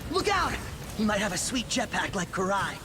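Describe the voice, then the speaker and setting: High-pitched goofy teen turtle boy, lively swoops, surfer slang, playful, cartoonish video game, loud music. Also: moderate pace. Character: goofy teenage male mutant turtle, pizza-obsessed joker.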